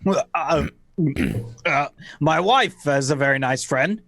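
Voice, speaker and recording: A man's voice: a little high, takes it slowly, creaky, fairly bright tone, exaggerated voice. A man playing an affable, slightly baffled friend in a comic radio play, solicitous and hesitant.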